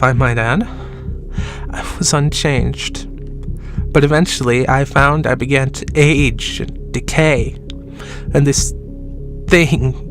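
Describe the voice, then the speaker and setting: An actor playing a sickly, dying man, bitter and self-loathing, who laughs wryly and drops to whispers, in a horror audio drama. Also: medium pitch. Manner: wry, mocking laughs, sinking to whispers. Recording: soft music underneath.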